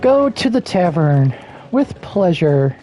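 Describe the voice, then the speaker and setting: A game streamer comments casually in a mellow voice. The delivery is slow and informal, with quiet game music in the background. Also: mid-range voice, sing-song, poor audio.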